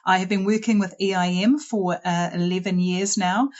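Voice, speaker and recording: Lowish New Zealand woman presenting. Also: fairly even intonation, muffled recording. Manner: warm, brisk, many "uh" fillers. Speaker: head of college presenting. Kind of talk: online presentation.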